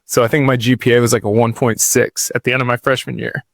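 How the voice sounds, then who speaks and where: Man in his 30s, podcast guest, gravelly, dry close sound, rambling and casual. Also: medium pitch, little pitch movement, fast, dry recording. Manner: laid-back, rambling, says dude and like a lot. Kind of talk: casual storytelling interview.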